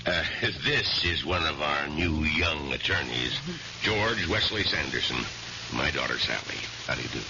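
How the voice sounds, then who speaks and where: Very deep, gravelly older law boss. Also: male voice, moderate pace. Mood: fatherly. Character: genial older senior law partner and father. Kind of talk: old-time radio drama acting. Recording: noisy dull 1970s radio recording.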